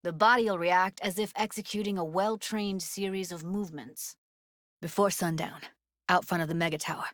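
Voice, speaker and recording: A woman's voice: lowish voice, steady tone, moderate pace, a bit bright, no room sound, studio quality, close to an everyday voice. An actress voicing a tough, guarded young woman techie in a cyberpunk video game, speaking in casual, clipped slang with a wry edge. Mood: matter-of-fact. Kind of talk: naturalistic video game dialogue, isolated voice lines.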